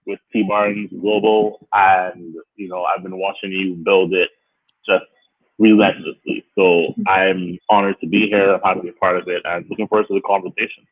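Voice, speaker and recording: A man's voice: low voice, level tone, normal speed. A middle-aged man, a chef speaking as a guest on a video call, thoughtful and full of restarts. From Barbados. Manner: thoughtful, with many restarts and fillers. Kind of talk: casual video call interview. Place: indoor room. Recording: tinny phone-like video call audio.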